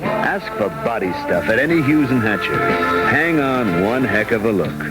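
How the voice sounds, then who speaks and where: A man's voice: medium pitch, very animated, normal speed, low-quality recording. An American man announcing a hip 1970s radio commercial, recorded off old AM radio. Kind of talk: hip 1970s clothing ad read. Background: loud music.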